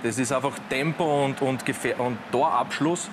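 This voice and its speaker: A man's voice: medium pitch, moderate pace, noisy background. A man analysing a team's attack on a football talk show.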